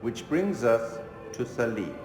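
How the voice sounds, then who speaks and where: A man playing an enigmatic, stately curator of dark tales in a video game, speaking in a measured, formal, theatrical way. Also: medium pitch, expressive. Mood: grave, brooding. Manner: measured, formal, ominous, deliberate. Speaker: narrator addressing the player. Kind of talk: theatrical scripted game narration. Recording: echoey hall reverb, music behind.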